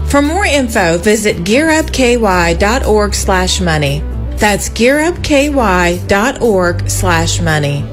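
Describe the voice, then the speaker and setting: An American woman reading a scripted radio public service announcement in a conversational, explanatory way. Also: fairly high pitch. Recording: music bed under radio advert.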